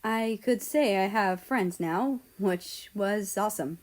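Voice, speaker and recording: A woman narrating a scripted first-person story in a measured, deliberate way. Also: medium pitch. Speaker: audiobook-style reader of fan fiction.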